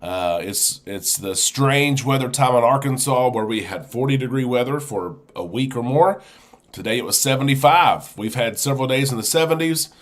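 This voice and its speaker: Host, male, head cold, friendly live-chat patter, even mid pitch. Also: moderate pace. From United States. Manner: friendly, chatty, sniffling. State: congested with a chest cold. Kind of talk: live-stream casual chat, greeting viewers.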